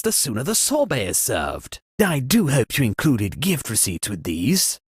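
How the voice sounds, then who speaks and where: A man's voice: a little high, husky, no room sound, studio quality, heavily acted. A man playing a pompous, melodramatic landed-gentry party host in a comedic video game. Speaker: game character hosting a murder mystery party.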